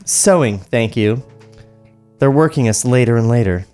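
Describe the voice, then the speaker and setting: A man's voice: mid-range voice, animated, a little slow, warm tone, faint music behind, natural voice. A man at a script table read voicing a wry, teasing young female costume worker.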